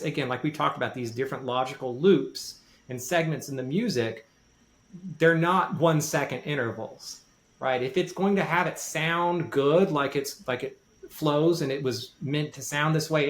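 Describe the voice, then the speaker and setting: A man talking casually and explaining in detail, often repeating and rephrasing for emphasis. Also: a little high. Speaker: podcast guest with a music background. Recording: roomy, echoey sound.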